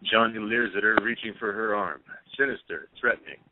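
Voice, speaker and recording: A man reads stage directions as the narrator of an audio play. His voice is fairly low. The recording is dull and narrow, lacking detail. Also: a little fast. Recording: dull, narrow phone-like sound.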